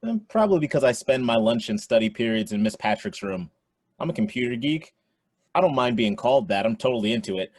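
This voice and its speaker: Teen geek next door, male actor: husky, mid-pitched, eager, casual slang, radio play. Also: expressive, quick pace, a little mellow, close to an everyday voice. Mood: eager, admiring. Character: eager, friendly teenage computer geek boy next door.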